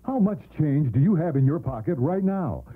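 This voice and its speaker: A man's voice: fairly high pitch, big pitch swings, moderate pace, slight background noise. An American man reading a hard-sell radio commercial as an announcer. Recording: thin narrowband AM radio recording.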